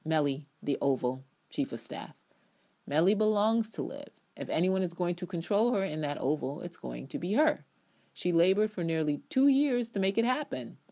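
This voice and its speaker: Woman, low voice, emphatic, opinionated, stretched key words, dramatic review reading with ad-libbed asides. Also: lively intonation, moderate pace, warm tone. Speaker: TV episode reviewer.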